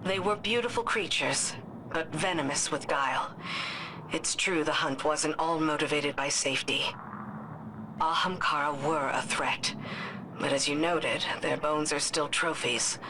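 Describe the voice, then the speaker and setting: A guarded, pragmatic commander from a video game. Her voice is low, composed and formal, measured as she cautions. There is clear noise behind her. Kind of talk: video game cutscene dialogue.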